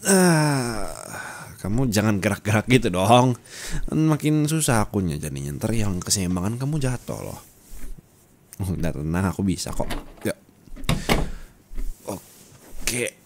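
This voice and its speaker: A man acting as a young Indonesian husband in an audio roleplay, with a low-pitched voice. He talks to his wife closely and affectionately, teasing and coaxing her in an intimate ASMR manner. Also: very animated, measured pace, pauses often, darkish tone, a little hiss or hum. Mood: tender, coaxing.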